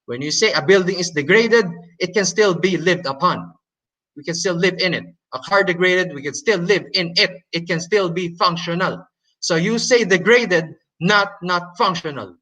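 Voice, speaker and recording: Man, hesitant presenter, non-native English, higher voice, bright and forceful tone. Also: moderate pace, low-quality recording. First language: Hokkien Chinese. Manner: hesitant, many fillers and restarts. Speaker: debate presenter. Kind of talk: halting explanatory presentation.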